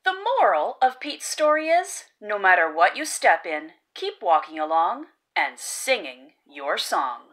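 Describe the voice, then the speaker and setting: A woman reading a children's story aloud as host, expressively, with exaggerated exclamations and drawn-out key words. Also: medium pitch, sing-song, fairly bright tone. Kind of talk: animated children's read-aloud.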